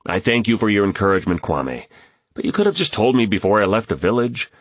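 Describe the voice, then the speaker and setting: A man reading a plain, firm grown man, deep. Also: expressive, fast, no room sound. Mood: patient. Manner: plain, direct speech. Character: practical grown man, firm but kind.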